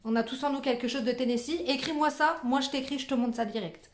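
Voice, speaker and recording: A woman's voice: sits high, quick pace, slight background noise. Chatty young woman in an echoey room. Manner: chatty, slangy, playful swearing. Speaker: fellow vlogger. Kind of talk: casual chat.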